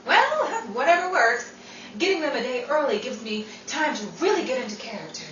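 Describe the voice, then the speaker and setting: A woman playing a breezy, quick-witted, playful radio actress who teases her friend in a 1940s-style radio comedy. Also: high voice, sing-song, a bit bright, far from the mic, dull audio. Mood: breezy, sly. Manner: playful, theatrical. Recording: noisy, echoey room, off the mic.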